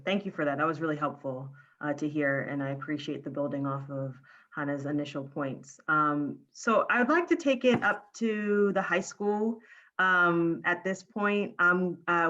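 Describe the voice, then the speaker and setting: A woman with a low voice moderates a panel and hands the floor to guests. Her audio is dull and lo-fi, and she speaks politely with fillers. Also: normal speed, a bit bright.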